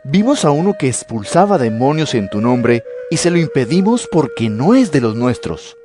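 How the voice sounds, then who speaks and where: A man's voice: medium pitch, sing-song, normal speed, music in the background, lightly acted. An actor playing an earnest male disciple addressing his master in a scripture audio drama.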